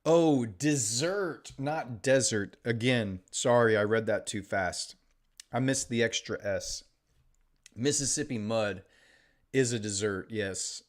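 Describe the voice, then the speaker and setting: A man with a mostly Midwestern American accent and a slight Texan touch, reading viewer chat aloud and answering it in a relaxed live-stream way. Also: medium pitch, takes it slowly. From Illinois, later Texas. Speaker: day trader streaming live.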